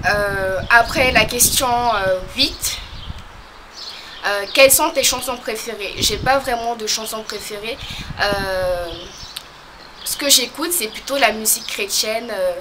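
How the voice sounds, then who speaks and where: A young woman from French Guiana, talking casually to camera as a vlogger, hesitant and full of pauses. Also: a little high, noisy background. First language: a local creole or regional language. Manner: hesitant, many pauses and fillers.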